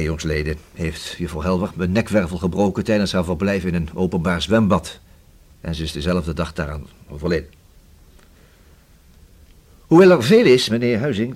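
An actor playing a polite, persistent police inspector in a radio play, speaking formal, measured Netherlands Dutch. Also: male voice, sits low, slightly rough, heavily acted. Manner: formal, courteous, measured and insistent.

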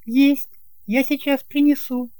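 A man's voice: very high-pitched, steady tone, slow, a little mellow, no room sound, voice pushed up. A man voicing a playful, coquettish young wife in an audio drama, with a teasing, coaxing manner. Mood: delighted.

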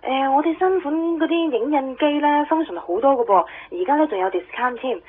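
A woman's voice: sits high, a little fast, a little hiss or hum. A woman with a Hong Kong Cantonese accent playing a pushy photocopier saleswoman heard down a telephone in a radio drama. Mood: eager, selling. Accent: Hong Kong Cantonese with English words mixed in. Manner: eager sales patter. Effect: telephone filter. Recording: thin, husky-sounding telephone line effect.

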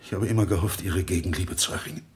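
An actor playing an obsessive, lovesick murderer in a radio crime play, impassioned, bitter and pleading. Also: male voice, sits low, fast talker, close to an everyday voice. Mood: desperate pleading.

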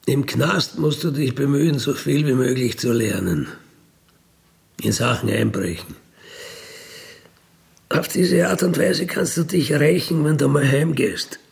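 A man's voice: medium pitch, expressive, a little slow, gravelly, pauses often. A middle-aged man with an Austrian accent, speaking in a candid, conversational monologue, matter-of-fact and often checking in with short tag questions. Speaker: ex-convict burglar telling his life.

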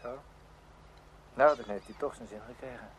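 A man's voice: medium pitch, normal speed, lightly acted. An actor playing a young man, a sceptical but loyal friend, in conversational Dutch radio play style with a questioning manner. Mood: curious, dry. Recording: noisy old radio drama recording.

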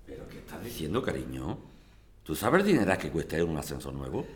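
A man with an accent from Spain playing a brisk, officious residents' president in a radio drama. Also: rumbling low, sing-song. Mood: incredulous. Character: businesslike male residents' president, impatient to stay on topic. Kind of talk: acted community radio drama.

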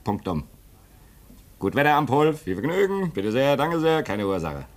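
A man's voice: mid-range voice, sing-song, normal speed, natural voice. An actor playing a restless, sarcastic younger man who is new to a polar station. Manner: sarcastic, agitated. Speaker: radio drama actor.

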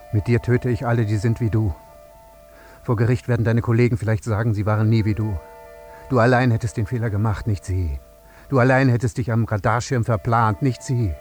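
An actor playing a grieving, vengeful father in a radio drama, speaking in a deliberate, accusing and repetitive way. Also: lowish voice, a little mellow, faint music behind, natural voice. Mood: cold resolve. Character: grieving, vengeful father confronting an air traffic controller.

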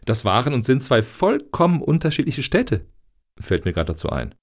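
An actor in a satirical radio play as a wry contrarian troublemaker, provoking dryly with feigned innocence. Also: male voice, mid-range voice, sing-song, moderate pace. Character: wry contrarian troublemaker among grant applicants.

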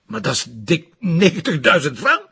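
Flemish TV cop: gruff, impatient, creaky husky voice, blunt delivery. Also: male voice, a little high, sing-song, normal speed, pushed and bright, heavily acted. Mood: sceptical, pressing. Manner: blunt, sarcastic. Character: stubborn, blunt male Belgian police inspector, gruff and impatient. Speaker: police inspector. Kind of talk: TV crime drama dialogue.

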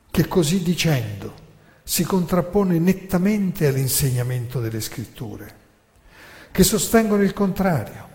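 A man giving a theatrical lecture, narrating deliberately and emphatically with dramatic pauses. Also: high voice, darkish tone. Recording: long hall reverb.

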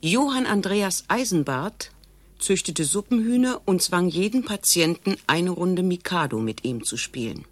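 A matter-of-fact narrator in a mock-documentary radio satire. Her voice sits low and sounds close and dry. She presents absurd trivia seriously. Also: lively intonation, unhurried, dry recording.

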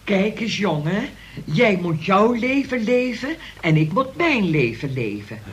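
A woman's voice: medium pitch, very animated, measured pace, dull audio, slight background noise. An actress playing a pious, martyred middle-aged mother of a grown son in a Dutch radio play, solemn and full of reproach. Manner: melodramatic, solemn, guilt-laying.

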